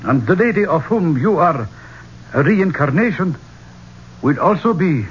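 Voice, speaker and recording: A man's voice: fairly high pitch, expressive, measured pace. An actor playing a solemn, mystical man who pronounces curses, portentous and formal, in a 1970s radio drama. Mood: solemn, ominous. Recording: muffled, noisy old radio recording.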